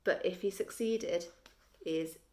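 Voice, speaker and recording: A woman reading a children's story aloud like a teacher, deliberate and expressive, with dramatic pauses. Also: lowish voice, a little hiss or hum.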